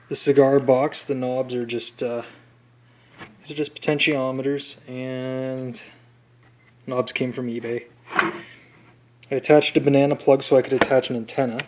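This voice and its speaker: Young guy showing off a homemade gadget, kinda halting and off the cuff, slightly noisy amateur audio. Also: mid-range voice, darkish tone. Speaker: electronics student demonstrating a homemade radio project. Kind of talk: casual unscripted demonstration. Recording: noisy amateur home recording with clicks.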